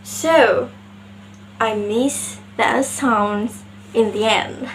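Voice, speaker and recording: High-voiced woman with an Indonesian accent. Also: slight background noise, low-quality recording. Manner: slow, searching for words. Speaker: student. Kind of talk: class presentation on pronunciation.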